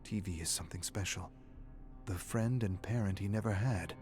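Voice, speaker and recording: A man with a low, deep voice delivers dramatic scripted narration. He is grave and deliberate, like a brooding storyteller. Soft music sits underneath his voice. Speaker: narrator of game lore readings.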